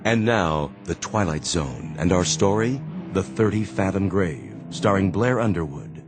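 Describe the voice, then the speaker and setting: A man's voice: sits low, animated, a little slow, over loud music. A man announcing a radio drama in a formal, dramatic style. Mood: grand.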